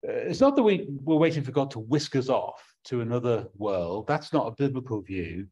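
A man's voice: medium pitch, big pitch swings, normal speed, a little mellow, breathy edge, lo-fi sound. A middle-aged man with an English accent preaching a sermon from a small room at home, earnest and prone to restarting his words.

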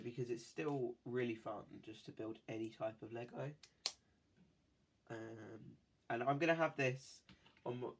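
A man with a head cold talks through a toy build at his desk, his voice rough and gravelly at a middle pitch. He rambles in a chatty, easygoing way, full of ums and asides, with a faint hiss behind him. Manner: chatty, rambling, many fillers. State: has a cold. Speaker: toy-building video host. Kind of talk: casual build commentary. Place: indoors at a desk.

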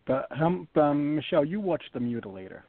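A man's voice: a little high, sing-song, a little fast, no room sound. A man with a Rhode Island accent hosting a casual horror talk show, rambling with frequent restarts. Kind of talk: casual panel chat. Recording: dry tinny phone-line audio.

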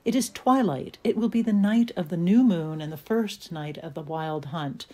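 Lower-pitched female audio play narrator. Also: normal speed, dark tone. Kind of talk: scripted narration of stage directions, atmospheric storytelling.

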